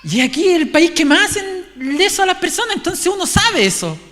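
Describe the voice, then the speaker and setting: Man, Chilean accent, conversational preacher, halting repeated phrases, reverberant hall recording. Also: very high-pitched, sing-song, pushed and bright. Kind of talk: sermon, informal and conversational with anecdotes. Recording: echoey hall, long reverb.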